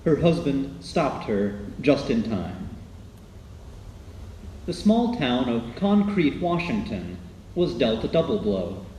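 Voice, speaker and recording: Male documentary narrator, announcer style, widely swinging pitch, echoey sound. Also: sing-song, slow pace, a little mellow. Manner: dramatic, announcer-like. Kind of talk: scripted documentary narration for radio. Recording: echoey, faint background noise.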